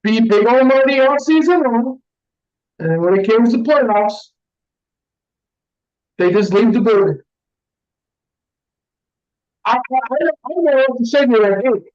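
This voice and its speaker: A man co-hosting a casual sports podcast, brash and excitable, swearing freely, heard over muffled remote audio with some echo. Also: very high voice, a little slow, long pauses. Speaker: podcast co-host.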